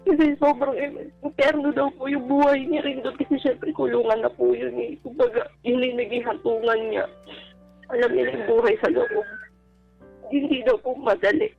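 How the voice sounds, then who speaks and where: A woman with a Filipino accent giving a personal account on a radio advice show, talking casually and politely in a halting, deferential way. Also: high-pitched, steady tone, vocal fry. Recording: dull band-limited radio sound, faint music. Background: background music.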